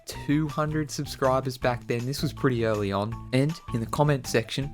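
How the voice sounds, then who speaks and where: A man's voice: mid-range voice, normal speed, soft background music. A man in his early twenties with an Australian accent, chatting casually and playfully as he answers viewer questions. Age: early 20s. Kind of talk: casual Q&A video chat.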